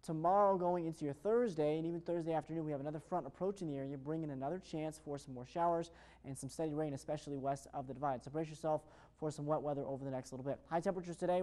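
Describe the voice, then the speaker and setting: A man's voice: fairly high pitch, warm tone. An American man presenting an ad-libbed television weather forecast in an upbeat, chatty way.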